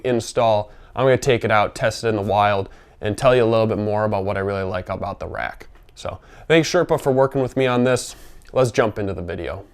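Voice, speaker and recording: A man with a gravelly voice, sick and under the weather, chatting casually in a product review vlog. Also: mid-range voice. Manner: chatty, rambling with fillers. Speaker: vehicle gear reviewer.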